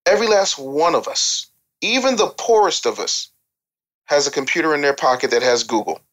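A man's voice: on the high side, expressive, moderate pace, a bit bright. A man with an American accent arguing his opinions assertively, repeating points for emphasis in casual speech. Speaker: podcast panelist. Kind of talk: opinionated discussion.

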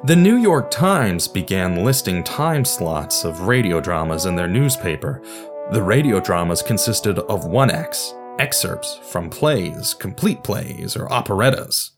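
A man's voice: deep voice, sing-song, measured pace, music in the background. A man hosting a podcast, reading scripted history narration with wry, informal asides. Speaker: podcast host.

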